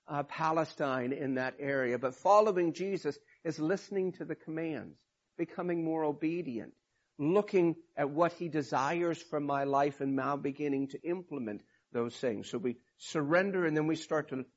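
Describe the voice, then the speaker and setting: A man preaching a sermon in a hoarse, raspy voice from a cold, talking informally with many restarts. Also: fairly high pitch, dull audio. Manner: informal, rambling with restarts and fillers. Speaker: pastor.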